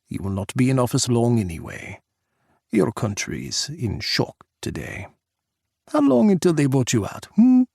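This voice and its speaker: Man playing a Russian president, rough, grating, condescending, gravelly, dry. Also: medium pitch, huge pitch swings, normal speed, darkish tone, no echo, exaggerated voice. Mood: scornful. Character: arrogant Russian male president, gruff and dismissive.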